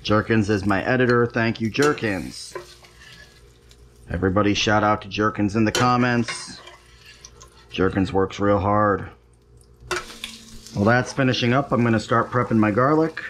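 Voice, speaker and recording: A man's voice: fairly low pitch, unhurried, long pauses, a bit bright, a little hiss or hum. A man hosting a home cooking show, chatting casually and making wry, self-deprecating asides as he cooks. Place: home kitchen, stovetop.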